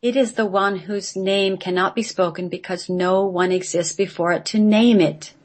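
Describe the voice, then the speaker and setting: A woman's voice: medium pitch, fairly even intonation, normal speed. A woman reading a scripted mystical text aloud in a solemn recitation, as a guest on a radio show.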